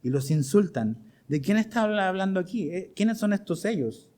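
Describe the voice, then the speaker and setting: A man's voice: high voice, expressive, normal speed, warm tone. A middle-aged man with a Chilean accent preaching to his congregation as pastor, asking them questions as he goes. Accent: Chilean Spanish. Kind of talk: sermon.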